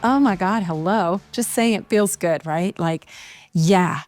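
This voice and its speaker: A woman's voice: lowish voice, measured pace, darkish tone, dry recording, soft background music. Effusive woman host in her fifties. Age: 50s. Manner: effusive, chatty, says "oh my God". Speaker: podcast host. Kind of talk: casual interview chat.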